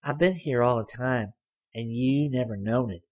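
A man's voice: mid-range voice, moderate pace, darkish tone, pitched above the performer's own voice, lightly acted. A man voicing a brash, teasing teenage girl in an audiobook, speaking bluntly and cockily. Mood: brazen. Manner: blunt, artless, cocky. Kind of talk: audiobook character voice.

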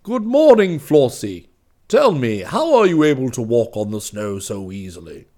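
A man's voice: mid-range voice, normal speed, lightly acted. A man voicing a merry, eager old toy maker in a hearty, cheerful way for a storybook reading.

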